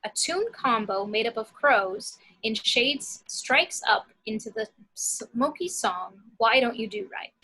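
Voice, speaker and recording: A woman's voice: fairly high pitch, expressive, takes it slowly, hardly any pauses, pushed and bright, husky, rough recording. A woman reading scripted action descriptions as narrator in an amateur screenplay table read.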